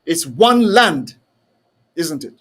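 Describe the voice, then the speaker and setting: Man, talk show host, high voice, fiery, emphatic, rhetorical questions. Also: very animated, slow, fairly bright tone. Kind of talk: heated call-in style talk.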